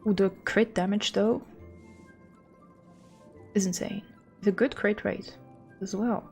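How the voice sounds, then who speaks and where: A woman livestreaming a game talks at a slow pace with plenty of vocal fry. She rambles and thinks aloud in a casual, self-deprecating way, with faint music behind her. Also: mid-range voice, steady tone, darkish tone. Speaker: game streamer. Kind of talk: casual livestream chat and commentary.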